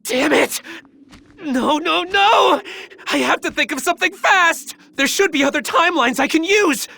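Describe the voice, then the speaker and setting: A man voicing a scheming, excitable young demon scientist villain in an anime game, dramatic and self-absorbed. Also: very high voice, measured pace, vocal fry, heavily acted. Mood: frantic, desperate. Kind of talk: English anime game dub acting.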